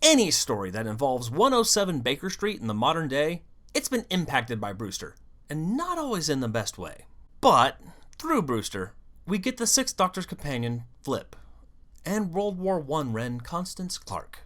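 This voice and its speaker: Lively, animated video host with expressive swings. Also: medium pitch, normal speed. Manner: animated, dramatic storytelling with wry asides. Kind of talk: scripted fan commentary on an audio drama series.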